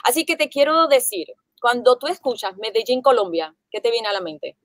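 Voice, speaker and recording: A woman's voice: on the high side, very animated, moderate pace, a bit bright, slight background noise. A woman hosting an interview, asking questions and making admiring remarks.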